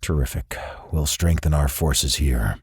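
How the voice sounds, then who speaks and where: A man reading an audiobook, voicing a stern, feared male boss in a curt, gruff voice. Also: a bass voice, animated, moderate pace, a little mellow, dry recording, clean studio sound, voice pushed down, exaggerated voice.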